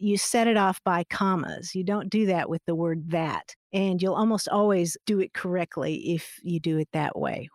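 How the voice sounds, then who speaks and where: A middle-aged woman explaining grammar rules in a relaxed, friendly way, laughing easily as she talks. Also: medium pitch, dry recording, studio quality. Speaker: grammar expert guest. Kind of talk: conversational explanation on a podcast.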